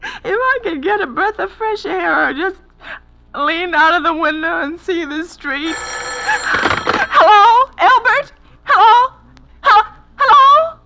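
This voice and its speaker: A neurotic invalid woman in an old radio thriller. Her voice is high and sharp, fretful and haughty, tipping toward hysteria. She complains and demands with full 1940s melodramatic force. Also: slow, a little hiss or hum, full character voice, rough recording. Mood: frantic. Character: nervous, demanding bedridden invalid woman, imperious and increasingly hysterical. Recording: vintage 1940s radio broadcast.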